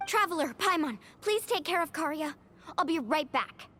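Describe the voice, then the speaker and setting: A woman's voice: sits high, lively intonation, normal speed, noisy background. A woman playing a spirited, confident and caring young female tour guide in a video game. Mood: reassuring, determined. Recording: game music behind the voice.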